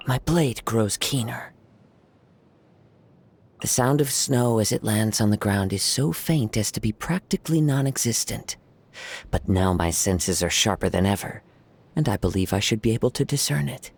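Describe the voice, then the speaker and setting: Serene young swordsman poet, soft-spoken and gentle, game character. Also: on the low side, animated, normal speed, gravelly, breathy edge, heavily acted. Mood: gentle reflective. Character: calm young male wandering samurai poet.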